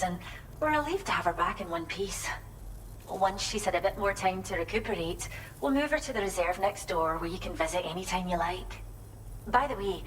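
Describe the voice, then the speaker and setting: Actress doing grateful animal shelter lady npc, chatty caring briefing, medium pitch, bit of bg noise. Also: lightly acted, rough recording. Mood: helpful. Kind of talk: video game briefing dialogue.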